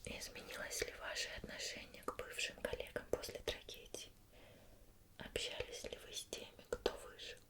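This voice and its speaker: A whispered ASMR roleplay voice: a woman playing a female investigator who questions patiently and formally. Every phrase is hushed, measured and gentle. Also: close to an everyday voice. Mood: probing, serious.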